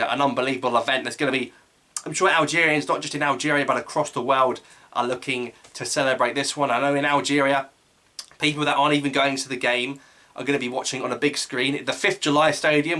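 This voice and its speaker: Bright-voiced man previewing a match. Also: medium pitch. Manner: eager fan talk, quick asides and self-corrections. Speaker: football channel host. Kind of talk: solo football match preview.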